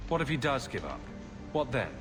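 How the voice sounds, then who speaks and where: An actor playing a composed, sympathetic male adventurer in a video game, asking questions in a measured way. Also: medium pitch, big pitch swings, lightly acted. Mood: curious, sympathetic. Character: composed, helpful young male hero adventurer, sympathetic and resolute. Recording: music behind the voice. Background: game music.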